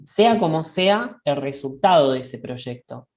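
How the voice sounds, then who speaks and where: Low-voiced woman musing in a halting way. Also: expressive, darkish tone. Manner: thoughtful, halting, often checks in with a questioning "right?". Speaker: discussion co-host. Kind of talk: reflective conversational dialogue.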